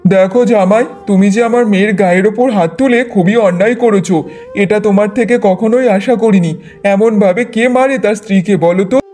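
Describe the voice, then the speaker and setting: A man's voice: sits high, normal speed, warm tone, faint music behind, natural voice. A man voicing a protective older village father in a cartoon, firm and admonishing. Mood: stern. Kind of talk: Bengali moral-story cartoon dubbing.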